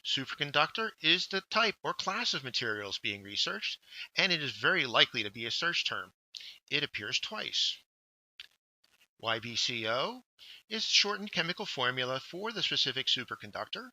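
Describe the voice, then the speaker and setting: A man narrating a scripted instructional workshop, explaining step by step with deliberate emphasis on key words. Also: medium pitch, big pitch swings, sharp tone, no echo, poor audio. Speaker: workshop instructor, journal editor.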